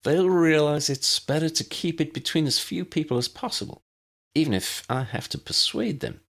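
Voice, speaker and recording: A man voicing a keen, excitable young female agent in an audiobook, eager and emphatic. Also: a little high, moderate pace, creaky, voice pushed up. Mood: determined.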